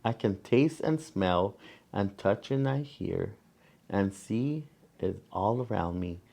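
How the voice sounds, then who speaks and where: A man reading a picture book aloud to children as a storyteller, gently and deliberately, pausing between phrases and adding small asides. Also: lowish voice, lively intonation, vocal fry.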